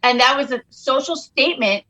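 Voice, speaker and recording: A woman's voice: high voice, a little fast, pushed and bright, dull audio. A woman comedian guest on a podcast, joking and self-mocking in casual chat.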